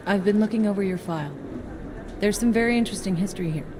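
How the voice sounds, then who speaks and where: An actress playing a composed, straight-talking agency doctor in a video game, measured, dry and probing. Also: female voice, medium pitch, noisy background, lightly acted. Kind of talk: video game cutscene acting.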